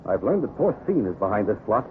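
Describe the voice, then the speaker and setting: A heroic pirate captain, fires off stern orders at a rapid clip. The delivery is authoritative old-time radio adventure acting, heard through a tinny vintage broadcast with faint noise. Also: male voice, on the low side, big pitch swings. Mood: firm, urgent. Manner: brisk, authoritative.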